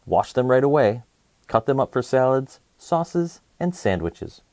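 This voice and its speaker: A man with very lively, varied intonation reads an educational book aloud for children. His voice is mellow and mid-pitched, and his phrasing is simple and clear. The sound is close and dry, in the style of a kids' story host. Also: no echo. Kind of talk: educational picture book read-aloud for kids.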